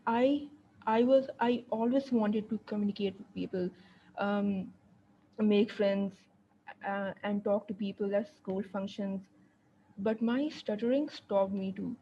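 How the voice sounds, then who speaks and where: A woman's voice: medium pitch, lo-fi sound, slight background noise. A young woman telling her own story in a testimonial, hesitant, with many repeated words and fillers. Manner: hesitant, frequent repetitions and fillers. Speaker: guest sharing her story. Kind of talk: personal testimonial.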